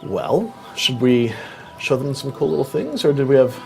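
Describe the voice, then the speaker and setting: A man's voice: medium pitch, big pitch swings, moderate pace, gravelly, darkish tone, low-quality recording. Chatty man co-hosting a stream. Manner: upbeat, chatty, many fillers. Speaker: co-host on a game stream. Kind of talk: casual chat on a livestream.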